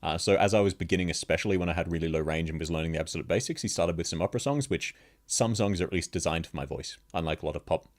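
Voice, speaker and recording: A man with a very deep bass voice, chatting casually with viewers on a live stream and laughing often. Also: racing. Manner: laughs constantly, chatty, answers chat questions. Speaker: VTuber streamer. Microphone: streaming microphone, level shifts as he moves.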